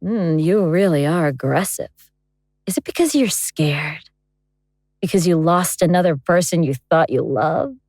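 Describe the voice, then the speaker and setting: A woman playing a calm, menacing female elder god in a horror audio drama, composed, commanding and coolly mocking. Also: sits low, unhurried, dry recording, studio quality, heavily acted. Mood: civil, mocking.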